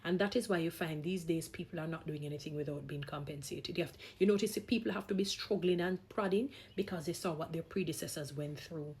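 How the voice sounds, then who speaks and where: A woman's voice: low-pitched, fast, few pauses, darkish tone, husky. A woman vlogger giving opinionated talk in an emphatic, exhorting manner, repeating phrases for stress. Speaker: vlogger, gospel singer.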